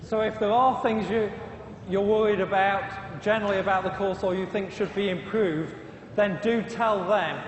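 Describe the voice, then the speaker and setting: Brisk informal man lecturing students, recorded in a big echoing hall. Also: high-pitched, steady tone, a little hiss or hum. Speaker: university lecturer. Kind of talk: classroom lecture. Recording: echoey hall reverb.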